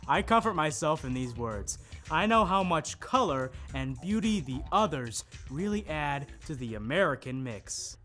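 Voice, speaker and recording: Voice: mid-range voice, very animated, unhurried, a bit bright, soft background music. A performer reciting scripted lines and quotations in a readers theater ensemble.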